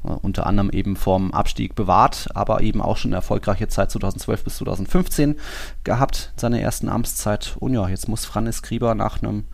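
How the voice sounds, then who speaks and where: A man's voice: lowish voice, fairly even intonation, brisk, vocal fry. A man hosting a football podcast, talking conversationally in long, self-interrupting runs. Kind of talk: conversational sports discussion.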